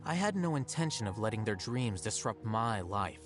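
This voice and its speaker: Low, swooping voice of an aloof scholar. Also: male voice, sing-song. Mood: dry, aloof. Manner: measured, articulate, dry. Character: aloof, self-assured young scholar, coolly logical. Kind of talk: video game voice acting. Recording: game music under the voice.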